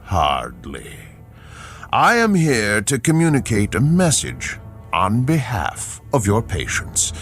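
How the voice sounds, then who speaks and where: An actor playing a calm, mysterious messenger of reckoning in a radio drama, speaking formally and deliberately. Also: male voice, lowish voice, faint music behind, exaggerated voice. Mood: stern, composed.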